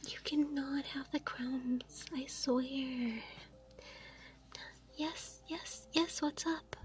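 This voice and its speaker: A woman whispering softly on a live stream, chatting with her viewers and giggling through her words. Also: high voice, fairly even intonation, extremely slow. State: laughing to tears.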